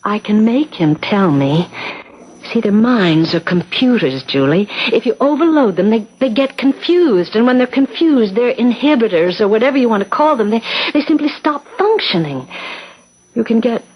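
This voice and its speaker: An American actress playing an intense, scheming suburban wife in a 1970s radio drama, insistent and deliberate. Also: female voice, on the high side, lively intonation, husky. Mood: conspiratorial. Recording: dull, lo-fi old radio broadcast.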